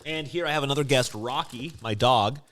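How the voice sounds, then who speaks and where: A man's voice: medium pitch, a little fast, a bit bright, slight background noise. A man co-hosting a podcast in casual snack-tasting chat, informal and given to repeating short phrases. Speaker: podcast co-host.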